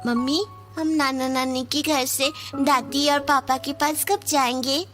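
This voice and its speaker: A performer voicing a little girl in an animated story, pleading for her family. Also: sits high. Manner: slow, pleading. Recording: music under the voice.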